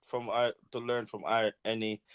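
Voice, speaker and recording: A man's voice: lowish voice, flat delivery, normal speed, smooth. A man joking around on a casual online panel, laughing often and repeating himself. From Jamaica.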